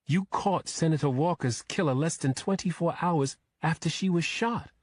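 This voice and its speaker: A man's voice: on the high side, normal speed, no echo, pitched above the performer's own voice, lightly acted. A man voicing an earnest, polite young woman with a formal, courteous manner in audiobook dialogue. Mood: excited admiration.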